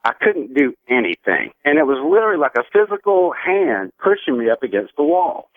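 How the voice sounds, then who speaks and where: Tinny phone line, American man, forties, slightly high voice, earnest storytelling, radio caller, dry sound. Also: sing-song, brisk, dry recording. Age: 40s. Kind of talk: recounting a personal anecdote. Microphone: telephone.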